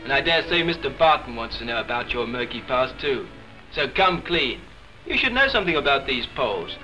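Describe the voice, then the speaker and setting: A man's voice: mid-range voice, sing-song, a little fast, bright, forceful tone, low-quality recording. An actor in his twenties playing a cheeky, bantering young English airman in a 1940s film. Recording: 1940s film soundtrack with music behind.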